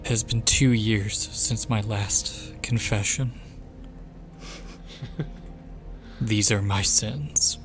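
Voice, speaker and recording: A man doing a grave confessing sinner. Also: fairly low pitch. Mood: solemn. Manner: slow, grave, breaking into stifled laughs. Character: solemn guilt-ridden man confessing to a priest. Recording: dramatic choral music behind voice.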